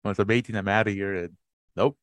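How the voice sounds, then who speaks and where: A man's voice: lowish voice, steady tone, brisk, no room sound. A man in his late twenties co-hosting a podcast, chatting casually, rambling and swearing freely with frequent laughs. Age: 20s. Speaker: podcast co-host. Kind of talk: casual chat.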